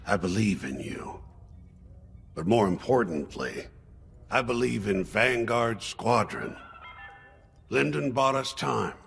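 A steadfast, solemn old fish-like alien officer in a video game. The voice is low and slow, with weighty emphasis and a gravelly edge. Music plays behind it. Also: male voice, big pitch swings, a bit bright, heavily acted. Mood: solemn, hopeful. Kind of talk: video game cutscene dialogue.

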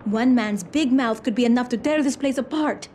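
A woman's voice: a little high, normal speed, mellow, slight background noise, natural voice. An actress playing a guarded, sardonic young village woman in a video game, dry and dismissive. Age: young adult. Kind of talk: video game cutscene acting.

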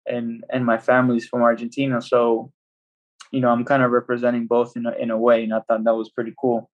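Young male guest, relaxed and rambling, dull laptop sound. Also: medium pitch, brisk. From El Salvador. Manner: relaxed, rambling with many restarts. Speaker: professional footballer, guest. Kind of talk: casual video-call interview. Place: small home room. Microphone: laptop video call.

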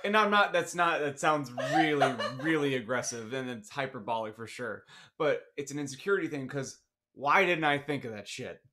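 A man's voice: medium pitch, expressive, normal speed, bright, forceful tone. A man chatting casually and self-deprecatingly as a podcast co-host. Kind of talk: casual banter. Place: small home studio room.

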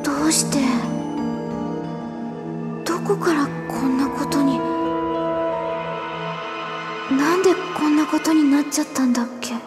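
Woman as a timid, very high-voiced anime schoolgirl. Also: moderate pace, over loud music, natural voice. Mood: dazed, sombre. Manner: hesitant, stammering, meek. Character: timid, clumsy, apologetic high school girl. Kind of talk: Japanese anime audio drama acting.

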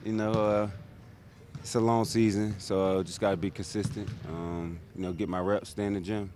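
A young man, a pro basketball player, answering reporters in a halting, laid-back way with many fillers, in an empty arena. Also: lowish voice, flat delivery, darkish tone, a little hiss or hum. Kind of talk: media scrum answers. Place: empty arena seats, indoors. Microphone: handheld reporter mics held close.

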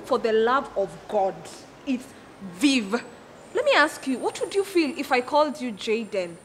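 A woman's voice: on the high side, sing-song, normal speed, big-room sound, a little hiss or hum. A woman playing a snappish, sneering younger sister in a drama, sarcastic and dismissive.

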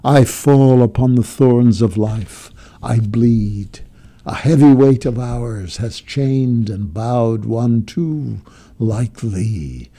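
A man giving a slow, grave, declamatory reading of a poem, swelling and then dropping to a hush. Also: mid-range voice. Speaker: poetry reader. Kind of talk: dramatic poetry recitation.

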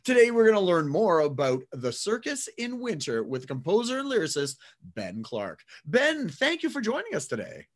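Male radio host, animated pitch, chatty and curious with guests. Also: normal speed, few pauses. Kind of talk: interview hosting.

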